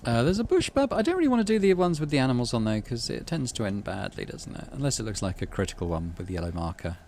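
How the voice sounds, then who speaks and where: A man's voice: medium pitch, big pitch swings, fast, a little mellow. A man hosting a gaming playthrough, musing aloud casually and reading game text as he goes. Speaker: gaming channel host. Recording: faint background noise.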